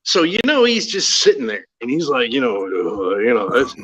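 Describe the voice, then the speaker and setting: A middle-aged man co-hosting a casual wrestling podcast, excitable and profane, stammering as he builds up. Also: mid-range voice, normal speed, slightly rough, rough recording. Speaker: podcast co-host.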